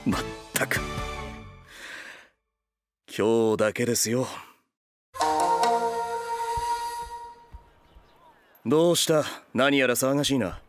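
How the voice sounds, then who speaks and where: An actor playing a stern, exasperated workaholic retainer who speaks formally and curtly in a comic anime drama. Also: male voice, mid-range voice, unhurried, loud music behind the voice, natural voice. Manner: formal, curt, businesslike. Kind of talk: anime voice drama comedy acting.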